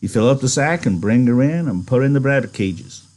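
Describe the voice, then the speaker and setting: A man voicing a practical, guarded yet dreamy male ranch worker with rough, folksy phrasing in a novel reading. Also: mid-range voice, lively intonation, fairly quick, a little mellow, voice pushed up, close to an everyday voice. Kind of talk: audiobook-style character reading.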